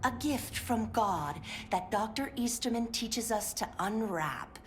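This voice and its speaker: An actress playing a sugary, overly polite 1950s clinic worker who is strained and pill-addled, often slipping into whispers. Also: female voice, on the high side, a little slow. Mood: sugary encouraging. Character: chirpy 1950s woman clinic worker, fraying. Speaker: game character voice lines. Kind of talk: scripted game voice acting. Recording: steady background noise.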